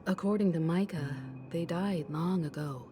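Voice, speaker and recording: Composed low-pitched traveler played by a woman. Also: moderate pace, mellow, a little hiss or hum, lightly acted. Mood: subdued. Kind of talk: video game character acting. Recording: game music behind the voice.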